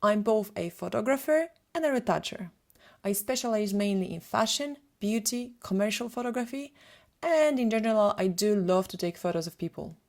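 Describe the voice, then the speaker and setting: A woman's voice: mid-range voice, animated, normal speed, darkish tone. A woman from Poland, a native Polish speaker with a Polish accent, giving a prepared webinar presentation as a photographer. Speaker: photographer and retoucher hosting a webinar.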